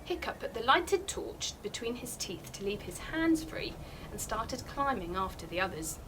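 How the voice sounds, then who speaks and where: A British woman in her thirties, a primary teacher, reading a children's story aloud in an expressive, explanatory way. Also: fairly high pitch, moderate pace, background noise. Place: small bright indoor room by a window.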